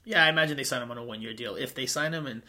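A man's voice: mid-range voice, racing, pushed and bright. A man hosting a soccer podcast, introducing segments and asking direct, upbeat questions. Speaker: podcast host.

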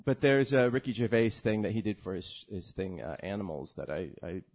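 A man's voice: lowish voice, fairly quick, a little mellow. A man co-hosting a casual comedy podcast, making dry joking asides and reading out listener messages.

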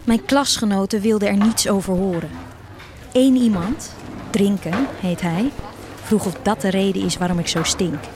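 A woman playing an earnest schoolgirl, proud of her fish farmer father, narrating a radio play in a measured storytelling way. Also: medium pitch, noisy background, lightly acted. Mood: earnest, recounting.

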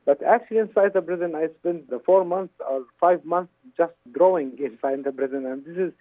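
Phone-line man speaking halting, second-language English. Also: fairly high pitch, no room sound. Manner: earnest, halting non-native English with repeated phrases. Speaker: interview guest, cartoonist and author. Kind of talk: interview answers. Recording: thin phone-like radio line.

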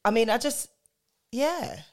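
A woman's voice: fairly high pitch, slow. A British woman hosting an interview, bubbly and affirming, laughing often as she talks. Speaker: interview host. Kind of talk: casual interview chat.

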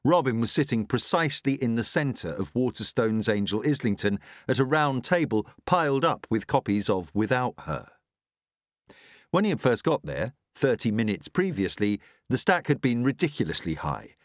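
A man's voice: medium pitch, very animated, moderate pace, no room sound, studio quality. A man reading a novel aloud as scripted audiobook narration.

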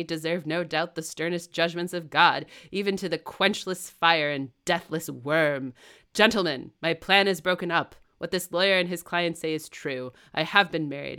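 A woman playing a fierce, defiant nobleman who speaks in loud, scornful declamations. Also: very deep voice, heavily acted.

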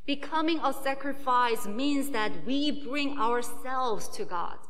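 A woman whose first language is Korean, preaching a sermon as a pastor in a slow, measured way. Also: high voice, a bit bright, big-room sound, soft background music.

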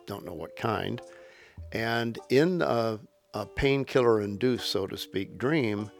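A man interviewee explaining history in a measured, explanatory way. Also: fairly low pitch, gravelly, faint music behind.

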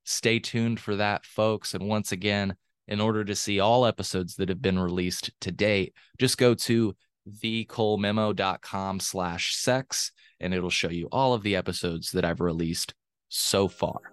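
A man's voice: on the low side, fairly even intonation, measured pace, clear, resonant tone, no room sound. A man hosting a podcast, reading an earnest intro with calls to support the show, then chatting and laughing easily with a guest. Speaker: podcast host. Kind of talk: podcast intro and casual interview.

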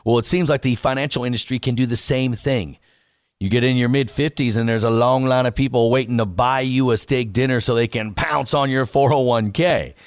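A man reading a scripted radio advertisement with a smooth, persuasive delivery. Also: mid-range voice, fairly even intonation, brisk, no echo, clean studio sound. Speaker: ad announcer.

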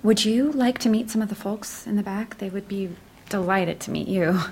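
Creaky-voiced, tentative gallery attendant, played by a woman. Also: medium pitch, slight background noise, lightly acted. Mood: eager, apologetic. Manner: tentative, soft-spoken, halting. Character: earnest, polite new female gallery attendant. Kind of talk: naturalistic audio drama acting.

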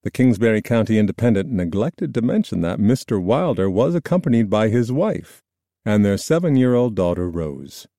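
A man reading scripted nonfiction narration in a measured, formal storytelling manner. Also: fairly low pitch, sing-song, dark tone, dry recording, studio quality. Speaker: audiobook narrator.

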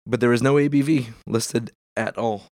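A man's voice: medium pitch, normal speed, a little mellow, no echo, clean studio sound. A man hosting a casual chat, congested and losing his voice, talking in loose rambling bursts. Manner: rambling, filler-heavy. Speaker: podcast host.

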